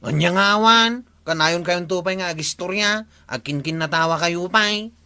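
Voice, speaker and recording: An actor with an Ilocano accent playing a hot-headed, swaggering village bully in a radio comedy drama. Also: male voice, high voice, moderate pace, bright, forceful tone, smooth, exaggerated voice. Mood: accusing. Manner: blustering, accusing.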